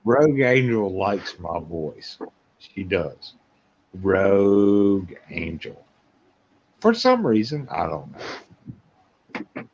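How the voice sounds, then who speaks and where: A middle-aged man hosts a casual, joking live chat at a very slow pace. His pitch sits low but swings widely, and the recording is noisy and muffled. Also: very animated, a little mellow. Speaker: live show host. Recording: dull lo-fi audio, clear noise, clicks.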